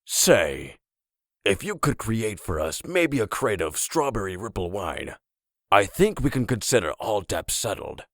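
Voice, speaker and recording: Deep, swooping, wheedling wino played by a man. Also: sing-song, a bit bright, dry recording, clean studio sound, full character voice. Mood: coaxing. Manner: coaxing, drawn-out, folksy. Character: wheedling, folksy male wino, jovial schemer. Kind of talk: comic dub voice acting.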